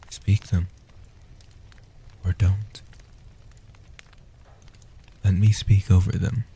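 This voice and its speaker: A gentle, age-old vampire guardian acted by a man speaks softly in a comfort audio roleplay. The voice is low, dark and very breathy, often sinking toward a whisper. It soothes and protects with tender reassurance. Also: heavily acted. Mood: soothing, protective. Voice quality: breathy, husky, half-whispered.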